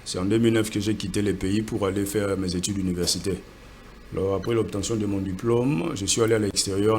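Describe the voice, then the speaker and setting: A man's voice: deep voice, darkish tone, slight background noise. A man from Congo, a guest entrepreneur, answering earnestly in a TV studio interview and often repeating words as he searches for them.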